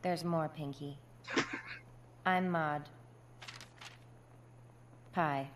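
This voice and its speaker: A woman voicing a stoic young female pony in a cartoon, speaking in a flat, deadpan monotone. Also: low voice, drawn-out words, slight background noise. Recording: show clip with music behind.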